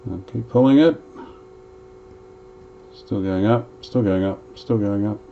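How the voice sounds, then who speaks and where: A man's voice: fairly low pitch, unhurried, vocal fry, muffled recording, slight background noise. A man narrating as he restores an old radio, chatting casually and thinking aloud while he works.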